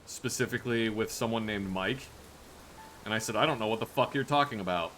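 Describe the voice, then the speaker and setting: A man's voice: on the low side, fairly quick, slight background noise. A young man streaming a game live, chatting casually and joking as he plays. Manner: joking, chatty, animated reactions. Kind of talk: casual live-stream chat while gaming.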